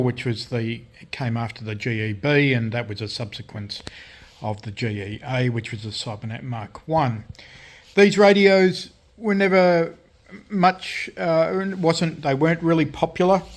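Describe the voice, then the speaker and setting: A man shows off a restored CB radio in a casual workshop walkthrough. He speaks at an ordinary middle pitch, stopping often for ums and restarts as he explains each fix in plain technical terms. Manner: halting, many ums and self-corrections. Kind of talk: casual workshop show-and-tell.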